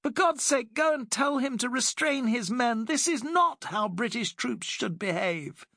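A man voicing a spirited young British woman journalist, sharp and indignant. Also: very high-pitched, lively intonation, measured pace, gravelly, no echo, clean studio sound, pitched above the performer's own voice, heavily acted.

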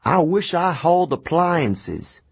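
A crude, sneering trucker taunts the animals in a loud, raised voice with a high pitch, as a man puts him on. He drawls each line out slowly. The husky voice sounds dry and close. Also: sing-song, dry recording, exaggerated voice. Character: coarse, mocking male trucker.

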